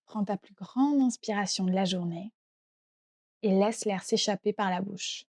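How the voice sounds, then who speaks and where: A woman gently and calmly guiding a relaxation meditation as a yoga nidra teacher, unhurried and soothing in her delivery. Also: medium pitch. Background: soft background music.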